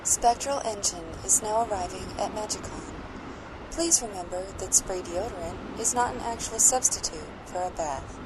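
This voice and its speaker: A woman's voice: mid-range voice, animated, normal speed, noisy background, natural voice. A woman playing a polite, snidely courteous train announcer in a comedic audio drama, giving scripted announcements. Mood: polite, formal.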